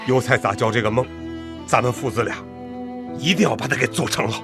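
A man's voice: medium pitch, fairly quick, natural voice. An actor in a radio drama playing an earnest middle-aged farmer father, speaking in a heartfelt, folksy way. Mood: resolute. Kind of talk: Chinese radio drama acting. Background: music.

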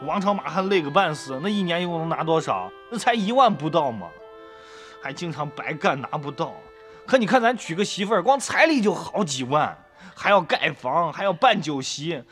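A man's voice: high voice, fairly bright tone, full character voice. An actor, sighing and pleading with his mother. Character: poor young village man, guilty and pleading. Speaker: son. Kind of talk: radio drama acting.

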